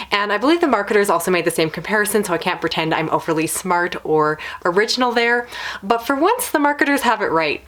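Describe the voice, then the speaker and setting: A woman's voice: medium pitch, a bit bright. A woman reviewing books in a casual video style, talking in long, considered explanations. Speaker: book reviewer.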